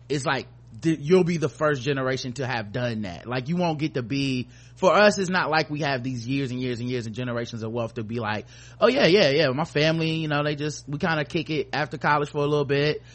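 A man's voice: mid-range voice, a bit bright. An American man hosting a podcast, talking informally and opinionatedly, with rambling runs and casual profanity. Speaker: podcast host.

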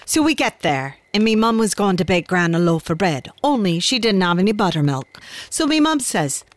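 A woman's voice: medium pitch, very animated, moderate pace, close to an everyday voice. An actress playing an Irish countrywoman who tells a tale of her gran in a chatty, confiding, folksy way for a radio drama. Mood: reminiscing. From Ireland. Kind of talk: conversational storytelling in radio drama.

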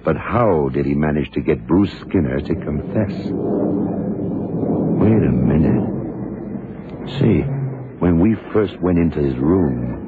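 An actor playing a blind detective in a 1970s radio drama, a gruff, commanding mentor who explains things deliberately with authority. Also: male voice, extremely low pitch, lively intonation, very noisy, heavily acted. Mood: explaining, deliberate. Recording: tinny, narrowband old radio recording.

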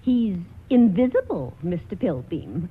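An actress playing a shrewish, scheming aunt, prim and insistent, in a 1940s radio comedy. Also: female voice, fairly low pitch, very animated, a little slow, breathy edge, exaggerated voice. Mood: sardonic, insistent. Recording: tinny, band-limited old radio broadcast.

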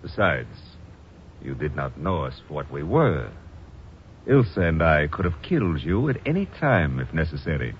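An actor playing a cold, scheming secret police villain with formal, menacing speech in 1950s radio drama style. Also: male voice, a bass voice, big pitch swings, moderate pace, heavily acted. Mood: smug, controlled. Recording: muffled, noisy old radio recording.

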